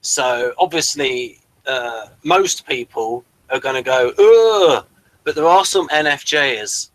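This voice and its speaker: A man's voice: mid-range voice, animated, slow, a bit bright, no echo, low-quality recording. Dry-sounding man hosting a casual chat. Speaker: podcast host.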